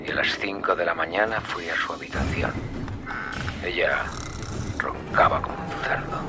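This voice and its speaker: An actor with an accent from Spain playing a calm serial killer who recounts his crimes in a measured, sardonic way, in a radio drama. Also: sits low, heavy background noise, close to an everyday voice. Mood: cold, brooding. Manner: measured, coldly matter-of-fact, darkly sardonic. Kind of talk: Spanish radio drama acting.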